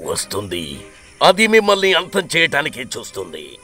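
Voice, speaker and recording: A man's voice: a little high, huge pitch swings, moderate pace, sharp tone, soft background music, exaggerated voice. A man playing a pompous ghost-hunting sorcerer in a cartoon moral tale, theatrical and incantatory. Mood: chanting, ominous.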